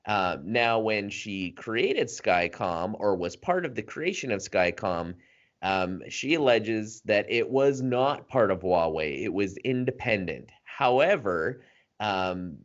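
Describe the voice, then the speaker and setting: Lower-voiced man explaining, lo-fi audio. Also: animated, slow pace. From Canada. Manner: explains at length with frequent ums and uhs. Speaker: podcast co-host. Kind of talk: casual explanatory chat. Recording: dull lo-fi podcast audio.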